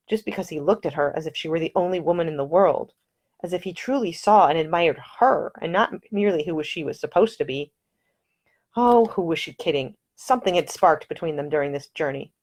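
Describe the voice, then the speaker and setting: A woman author reading her own novel aloud in calm, even audiobook narration. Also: lowish voice, fairly quick.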